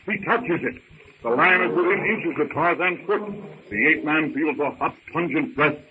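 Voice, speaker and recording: Male narrator, vintage radio drama, intense clipped phrasing, thin phone-like sound, faint noise. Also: fairly high pitch. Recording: tinny, hissy old radio recording.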